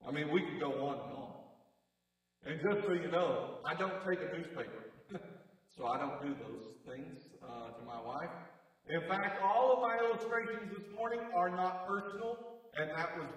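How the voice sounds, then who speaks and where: A man's voice: animated, moderate pace. Man preaching in an echoey hall. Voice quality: big low voice. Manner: conversational, wry asides to the congregation. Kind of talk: sermon. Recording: echoey hall, distant mic.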